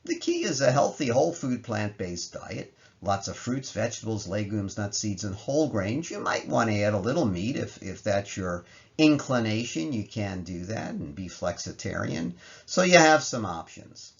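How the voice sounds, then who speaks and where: A man's voice: fairly low pitch, very animated, moderate pace, creaky, dull audio. A man narrating an explanatory slide lecture in a conversational way, posing questions and answering them.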